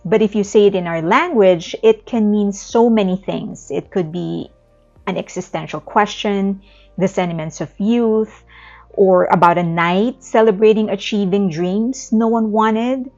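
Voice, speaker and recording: A woman's voice: medium pitch, unhurried, a little mellow. A woman from the Philippines with a Filipino accent, explaining her thoughts in a reflective video essay, with small restarts. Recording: slightly muffled, faint background music.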